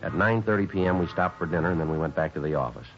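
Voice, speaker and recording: A businesslike American detective in a vintage radio police drama. The voice is deep and quick, with terse deadpan delivery, on a dull old broadcast recording. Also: male voice, faint music behind. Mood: flat, factual. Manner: deadpan, terse, matter-of-fact. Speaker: narrator and lead actor. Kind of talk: 1950s radio police drama acting, clipped scripted narration.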